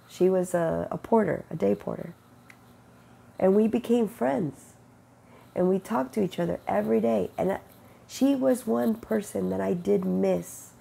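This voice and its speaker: A middle-aged woman with a cold, sniffling and rough-voiced, giving an earnest, unhurried spiritual testimony into an earbud mic in her bedroom. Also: mid-range voice, dark tone. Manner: earnest, unhurried, quotes God in conversation. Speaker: faith vlogger. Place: bedroom with bright window, indoors. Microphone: wired earbud mic, webcam close-up.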